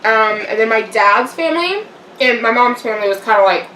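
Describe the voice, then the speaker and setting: A woman's voice: fairly high pitch, animated, brisk, a little hiss or hum. Chatty young woman in an echoey room. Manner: rambling, chatty, with fillers and soft laughs. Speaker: vlogger. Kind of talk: casual eating-show chat. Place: home room, eating on camera. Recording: echoey room, mic a bit far off.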